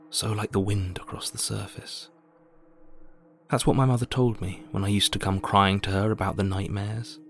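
Gravelly low man over music. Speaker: horror story reader. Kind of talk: first-person audio fiction narration.

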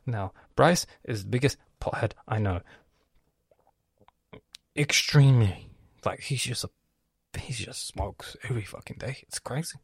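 A man's voice: mid-range voice, measured pace, gravelly, long pauses, slightly rough, no echo. A man raised in Lebanon hosting his own podcast, talking in a loose, rambling monologue full of swearing and lost threads. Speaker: podcast host.

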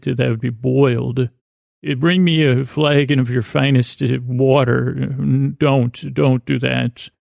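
A man hosting a bedtime podcast in a creaky voice, meandering and halting through a whimsical, self-deprecating monologue that often trails off mid-thought. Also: medium pitch, a little mellow, dry recording, studio quality. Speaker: podcast host.

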